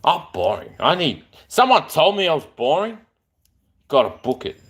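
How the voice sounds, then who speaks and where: A man's voice: on the high side, big pitch swings, normal speed, breathy edge. A man, chatting in a rambling, affectionate way on a live stream. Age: 40s.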